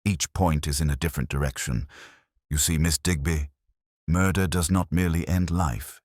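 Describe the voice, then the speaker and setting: Man playing a gentle, very deep-voiced police inspector. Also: no room sound, clean studio sound. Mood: calm. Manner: gentle, measured, even. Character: tranquil, shrewd, kindly male police inspector.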